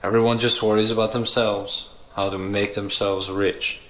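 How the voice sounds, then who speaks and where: A man's voice: fairly low pitch, level tone, normal speed, fairly bright tone, natural voice. Earnest, anxious family man, played by a man. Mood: bitter. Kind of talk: audio drama acting.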